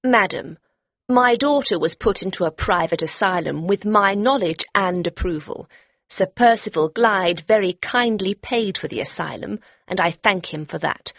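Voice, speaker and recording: A woman's voice: medium pitch, normal speed, no room sound, close to an everyday voice. A woman voicing a polite, formal mother reading out her letter in a dramatized audiobook. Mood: formal, composed.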